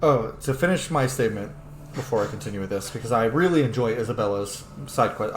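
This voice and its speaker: A man's voice: mid-range voice, slight background noise. Opinionated male gamer in a roomy space. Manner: opinionated, sweary, emphatic. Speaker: host of a game roleplay series. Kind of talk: casual gaming commentary. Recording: roomy sound with faint game music.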